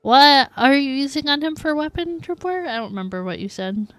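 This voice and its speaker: A woman's voice: high voice, brisk, fairly bright tone, dry recording, soft background music. A woman livestreaming a game, reacting casually and chatting informally with her viewers. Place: livestream, game audio behind.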